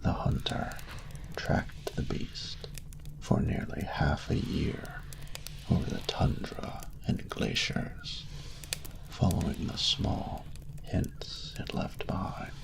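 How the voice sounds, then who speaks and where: An ancient-sounding, courtly dragon with heavy gravel and fry in his voice. He is proud and flirtatious, half-whispering close and intimately as in a romantic audio drama. His grand, formal phrases swing widely in pitch. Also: huge pitch swings, heavily acted, poor audio. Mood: hushed, reverent storytelling. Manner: formal, grandiose, unhurried wording. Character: flirtatious, proud male dragon hoarding treasures.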